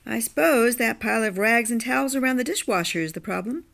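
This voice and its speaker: A woman's voice: on the high side, fast talker, gravelly, a bit bright. A woman playing a blunt, independent blue-collar plumber with a casual, dry manner in an audio drama.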